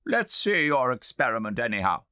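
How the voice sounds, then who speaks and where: A man voicing a sceptical, dismissive learned gentleman in a storybook reading, with a pompous, emphatic manner. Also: medium pitch, very animated, normal speed, clean tone, no echo, clean studio sound, pitched above the performer's own voice. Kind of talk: audiobook character reading.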